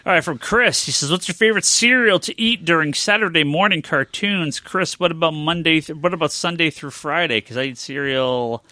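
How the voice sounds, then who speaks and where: A man hosting a casual podcast chat, joking and swearing freely in an animated way. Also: on the high side, fairly quick, hardly any pauses, dry recording. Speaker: podcast host.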